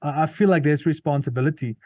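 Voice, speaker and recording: Afrikaans-accented man, mellow warm tone, dry close sound, earnest, restarts often. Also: fairly high pitch, fast talker, no echo. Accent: South African Afrikaans. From South Africa. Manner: earnest, thoughtful, many restarts and fillers. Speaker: comedian and musician guest. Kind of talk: interview conversation.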